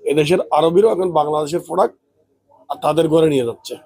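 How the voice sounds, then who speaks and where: A man's voice: on the high side, fast talker, a little mellow, husky. A man from Bangladesh giving an earnest interview statement.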